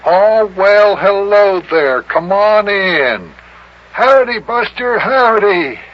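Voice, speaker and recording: A man's voice: high voice, animated, takes it slowly. A man acting a good-natured, patient guardian in an old-time radio children's show, folksy and full of exclamations. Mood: friendly. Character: good-natured, scolding grown-up guardian of a boy. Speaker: host and lead actor of children's radio show. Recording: muffled, hissy vintage radio recording.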